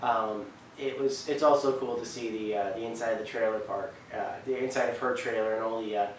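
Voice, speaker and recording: A man in his twenties giving casual, halting commentary to camera, often backtracking as he goes. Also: lowish voice, fairly even intonation. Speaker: video host. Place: wood-walled room indoors, on a couch. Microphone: camera mic across the room. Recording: very echoey room, distant mic, faint noise.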